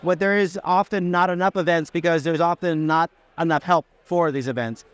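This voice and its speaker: Man, 30s, high voice, chatty, enthusiastic, interviewer. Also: steady tone, moderate pace, no echo. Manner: chatty, enthusiastic, loose restarts. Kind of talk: casual interview. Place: booth at an expo hall.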